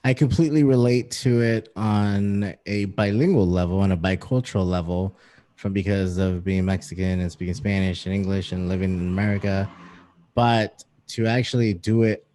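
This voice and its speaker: A man hosts a casual interview, his voice low and soft-edged. He is enthusiastic and tends to ramble as he asks his questions. A warm, relaxed tone runs through his talk. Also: normal speed. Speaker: podcast host.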